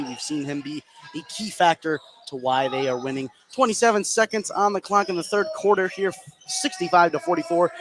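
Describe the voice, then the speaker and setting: A man doing live play-by-play radio commentary of a basketball game, his voice lifting as the action heats up. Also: a little high. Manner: voice rises excitedly as shots go up. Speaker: play-by-play sports announcer. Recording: clearly noisy gym ambience. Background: sports gym noise.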